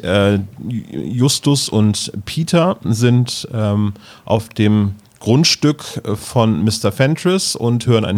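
A man's voice: mid-range voice, a little mellow. A man talking casually as a podcast co-host, retelling and discussing stories.

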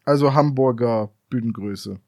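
A man co-hosting a casual podcast chat, explaining details in a nitpicky way with deadpan jokes. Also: medium pitch, moderate pace, no room sound, studio quality. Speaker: podcast co-host. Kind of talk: casual chat reviewing an audio drama.

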